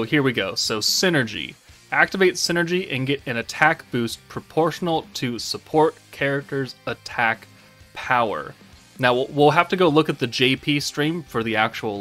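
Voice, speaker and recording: A man's voice: medium pitch, expressive, moderate pace, pushed and bright, soft background music. A man giving casual, informal game commentary as a channel host, rambling and thinking aloud as he goes.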